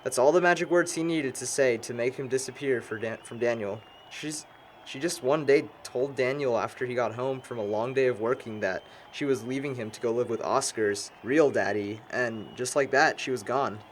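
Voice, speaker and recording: A man's voice: mid-range voice, moderate pace, a little hiss or hum, natural voice. A young American man playing a long-winded, dryly sarcastic know-it-all among friends in an audio drama. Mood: matter-of-fact. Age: young adult. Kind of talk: conversational audio drama acting.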